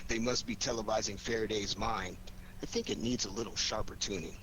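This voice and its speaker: A man's voice: fairly low pitch, fairly even intonation, moderate pace, fairly bright tone, lightly acted. An American man playing an easygoing, wisecracking amateur sleuth with flippant, teasing banter in a 1940s radio drama. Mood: wry, relaxed. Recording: noisy vintage 1940s radio recording.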